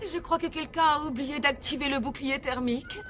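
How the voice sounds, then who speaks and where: A woman's voice: high voice, moderate pace, loud music behind the voice, lightly acted. A woman playing an unflappable, whimsical, cheerful schoolteacher in a French-dubbed children's cartoon, playful and reassuring. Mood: amused.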